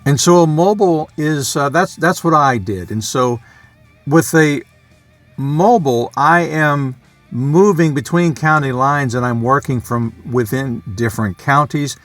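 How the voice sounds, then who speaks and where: A man's voice: a little high, unhurried, fairly bright tone, no room sound, soft background music. A man presenting a casual, unscripted vlog explainer, talking conversationally and thinking aloud as he goes. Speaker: amateur radio hobbyist and video presenter.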